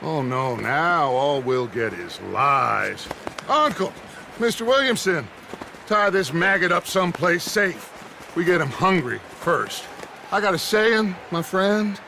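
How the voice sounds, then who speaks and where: Western game gang boss: American, theatrical, forceful and bright, with faint noise behind. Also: male voice, fairly high pitch, very animated, takes it slowly, creaky, exaggerated voice. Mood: smug, menacing. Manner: theatrical, oratorical, commanding. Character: commanding middle-aged outlaw gang leader, theatrical and vengeful. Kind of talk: dramatic video game acting.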